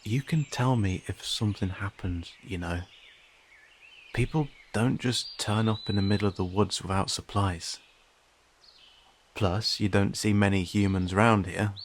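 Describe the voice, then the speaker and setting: Man playing a low-voiced young cat-man in audio drama. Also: measured pace, slight background noise, lightly acted. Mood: friendly, teasing. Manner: informal, hesitant, gently questioning with dry teasing. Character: friendly, teasing young male cat-person, agile and concerned. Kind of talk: audio roleplay drama addressed to a silent listener.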